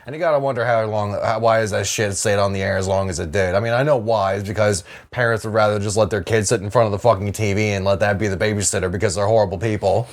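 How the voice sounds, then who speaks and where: A man's voice: on the low side, fairly even intonation, fast talker, hardly any pauses. A man co-hosting a podcast in casual comedic chat, with wry, blunt remarks. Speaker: podcast co-host.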